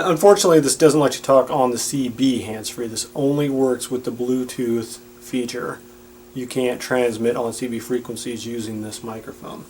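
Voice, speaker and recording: A man's voice: medium pitch, darkish tone, a little hiss or hum. A man giving a casual, plain-spoken and explanatory product demonstration.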